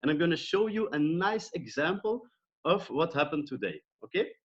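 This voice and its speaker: A man's voice: a little high, animated, moderate pace, husky, dull audio. A man from Belgium with a Belgian accent, whose first language is Dutch, giving a live online trading lesson in an upbeat way that checks in with his listeners often. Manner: upbeat, repeats phrases and checks in with "okay?". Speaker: trading educator.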